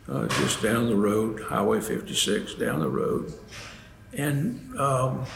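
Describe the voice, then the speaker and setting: An older man with a gravelly voice tells holiday stories to a church group in a deliberate, folksy way with long pauses and emphasis. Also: mid-range voice, a bit bright. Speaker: storyteller. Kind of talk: informal holiday storytelling talk to a church group. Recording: roomy echo, faint background noise.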